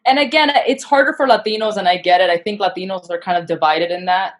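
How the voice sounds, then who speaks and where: Woman podcast guest, lively and self-deprecating, everyday pitch. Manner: animated, self-deprecating, quick asides. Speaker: podcast guest, actor and comedian. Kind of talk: casual interview chat.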